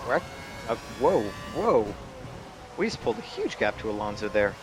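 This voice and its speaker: A man's voice: fairly low pitch, sing-song, normal speed. A young man casually commentating on a racing game, joking and swearing now and then. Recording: commentary over game engine noise. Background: racing game engine sounds.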